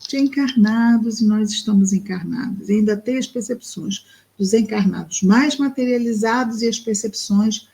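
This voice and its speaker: A woman with a Brazilian Portuguese accent reads a book aloud and explains it, speaking thoughtfully and slowly, with pauses and fillers. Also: fairly high pitch, vocal fry, mellow. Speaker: study group presenter. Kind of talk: reading and explaining a spiritist book.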